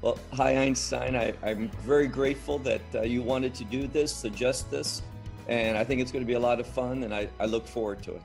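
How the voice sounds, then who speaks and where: A man, a Bible teacher being interviewed, who answers in a halting way with restarts. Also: medium pitch, fairly even intonation, vocal fry, a little mellow, soft background music. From United States.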